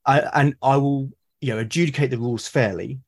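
A British man, a podcast guest, talking earnestly and haltingly, often repeating his words. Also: medium pitch, fairly even intonation. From Britain. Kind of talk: conversational interview.

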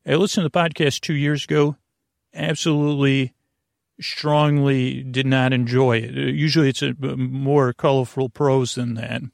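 A man's voice: medium pitch, flat delivery, normal speed, vocal fry, dry recording, clean studio sound. A man hosting a bedtime podcast in a meandering, hesitant, slightly goofy monologue that keeps circling back on itself. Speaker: podcast host.

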